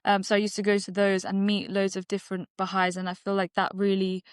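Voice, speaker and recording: A woman's voice: medium pitch, monotone, fast, clean tone, no room sound, clean studio sound. A woman, a guest in a podcast interview, answering in a reflective way with many fillers and restarts. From United Kingdom. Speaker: guest, dentist and singer-songwriter. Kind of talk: conversational interview.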